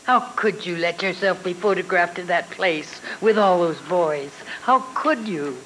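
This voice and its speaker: Reproachful older sister. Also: female voice, fairly low pitch, unhurried, a bit bright, a little hiss or hum, rough recording. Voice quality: reproachful, earnest. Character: weary, responsible eldest sister. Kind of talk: live radio drama reading.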